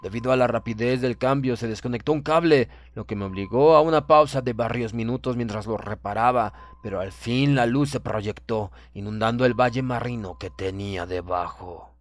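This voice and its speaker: A male audiobook narrator reads a horror tale in a formal, measured way with a dramatic touch. His pitch is medium, and music plays behind the reading. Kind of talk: scripted horror story narration, first person.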